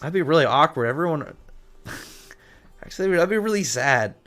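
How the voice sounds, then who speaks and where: Male livestream host, slightly high voice, loose rambling chat, repeats himself and drifts into asides. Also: expressive, normal speed, fairly bright tone, faint music behind. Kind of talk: casual chat with viewers, reading comments.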